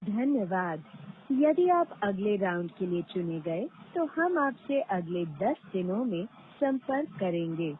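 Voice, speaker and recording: A woman reading scripted automated phone prompts in a formal, measured way with deliberate pauses, heard over a recorded phone call. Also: mid-range voice, big pitch swings, slight background noise. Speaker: automated phone line announcer. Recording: tinny band-limited phone line recording.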